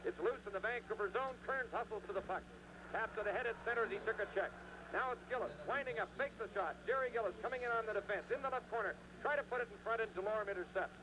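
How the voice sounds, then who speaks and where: Male hockey play-by-play caller, high voice, clipped urgent delivery, noisy vintage radio sound. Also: fast talker. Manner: clipped, urgent running narration. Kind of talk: live radio sports commentary. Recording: noisy 1970s radio broadcast.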